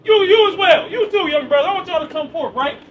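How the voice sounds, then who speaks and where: A man preaching loudly outdoors on a city street. He quizzes the crowd with questions and then answers them himself. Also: very high-pitched, expressive, fast talker. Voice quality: loud and projecting, near yelling. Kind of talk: loud open-air street preaching. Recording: far from the mic with street noise.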